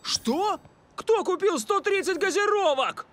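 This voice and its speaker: A man's voice: extremely high pitch, lively intonation, unhurried, fairly bright tone, a little hiss or hum, exaggerated voice. A man voicing an eager, cheeky young male footballer in a cartoon dub. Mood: excited. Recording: music behind the voice.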